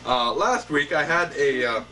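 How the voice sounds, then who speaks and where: A man's voice: fairly high pitch, animated, a little slow, bright, forceful tone. A young man hosting a mock late-night talk show, mock-formal and hesitant, full of ums and restarts. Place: small indoor set, couch and table. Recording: distant camera audio with hum.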